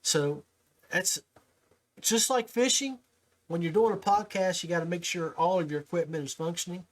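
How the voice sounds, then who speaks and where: Higher-pitched American man, folksy podcast host. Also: moderate pace. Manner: folksy, informal speech. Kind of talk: casual podcast talk and interview.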